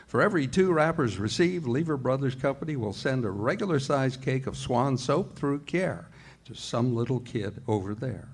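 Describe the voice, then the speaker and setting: A man's voice: mid-range voice, animated, moderate pace, slight background noise, natural voice. A man announcing in 1940s radio style, reading a scripted appeal in an earnest, persuasive manner.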